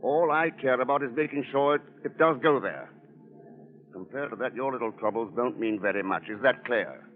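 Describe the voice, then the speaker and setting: An actor playing a cool, tough secret agent in a 1940s radio drama, dry and commanding. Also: male voice, medium pitch, lively intonation, normal speed, close to an everyday voice. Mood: stern. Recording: tinny phone-like old radio recording.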